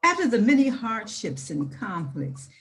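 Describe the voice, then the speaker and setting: A woman reading aloud in an emphatic, deliberate manner, with informal asides. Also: medium pitch, very animated. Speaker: reader with commentary.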